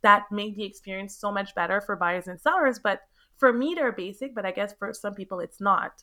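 Bright young woman, Quebec French accent, native French speaker, animated interview guest. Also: mid-range voice, a little fast. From Montreal, Canada. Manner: animated storyteller, laughs often, acts out quotes. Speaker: guest, realtor. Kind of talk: casual interview chat.

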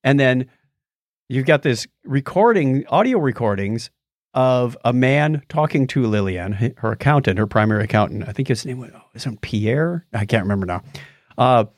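Male podcast co-host, medium pitch, casual storyteller with conversational asides, dry sound. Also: expressive, moderate pace, dry recording. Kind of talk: casual chat, recounting a documentary.

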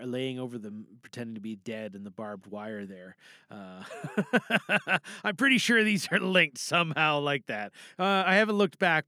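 A man's voice: medium pitch, sharp tone, no room sound, clean studio sound. A man hosting a podcast, wrapping up in a casual, offhand, rambling way. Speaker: podcast host and performer.

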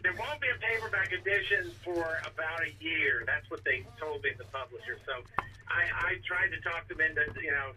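A man's voice: fairly high pitch, a little fast, bright, forceful tone. A man being interviewed as an author, speaking hesitantly with many restarts. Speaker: author and guest. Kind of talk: phone-in interview. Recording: tinny phone line, distant.

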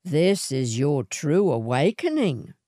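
A gruff outback vagrant man, played by a woman reading a story, sly and seductive. The voice sits low and moves slowly, coaxing and teasing in a storybook manner. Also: very animated, dark tone, no echo, studio quality, full character voice.

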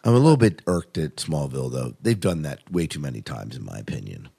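A man with a fairly deep voice hosts a casual review podcast. He talks in a conversational way, like someone steering a relaxed chat with a co-host. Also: brisk, gravelly, breathy edge.

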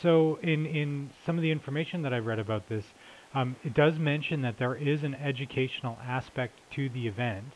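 A man's voice: medium pitch, brisk. A man hosting a casual interview over the telephone, chatty and a little hesitant. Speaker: interviewer, host. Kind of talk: casual radio-style phone interview. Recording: dull lo-fi telephone sound.

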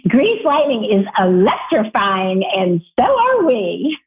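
A woman's voice: medium pitch, wildly swooping pitch, slow pace. A woman co-hosting a radio show, bubbly and playful, laughing often as she introduces the episode. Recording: dull lo-fi audio.

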